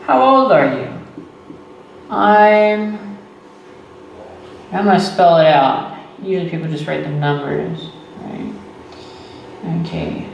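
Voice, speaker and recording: A man, teaching beginner English slowly and patiently, repeating phrases and checking in with "okay" and "right". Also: fairly high pitch, vocal fry, dull audio. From Texas. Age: 30s. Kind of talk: slow, deliberate beginner English lesson. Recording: echoey room, voice far from the mic, faint noise.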